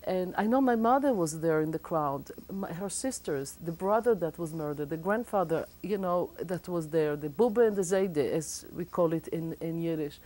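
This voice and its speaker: A woman's voice: fairly low pitch, moderate pace, few pauses, dark tone. A middle-aged Israeli woman with an Israeli accent, explaining as a guest in a TV studio interview, with many thoughtful hesitations.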